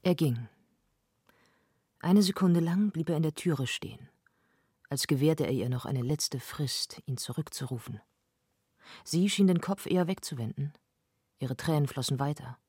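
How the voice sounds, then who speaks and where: A man with a husky voice narrating a literary audio drama. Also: on the high side, lively intonation, unhurried, long pauses, a little mellow, no echo, clean studio sound.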